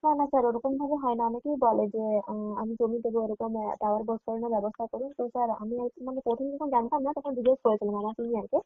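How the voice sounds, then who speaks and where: A woman's voice: on the high side, rapid-fire, no echo. A woman speaking politely and formally as a customer care agent on a call. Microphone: telephone. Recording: tinny phone line, faint music.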